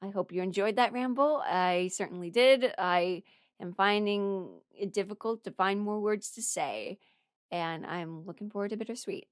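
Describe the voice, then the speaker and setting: A young adult woman rambling casually through her opinions as a video creator, expressive and emphatic, blunt but apologetic. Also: medium pitch, moderate pace, clear, resonant tone, no room sound, studio quality. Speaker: video creator ranking characters.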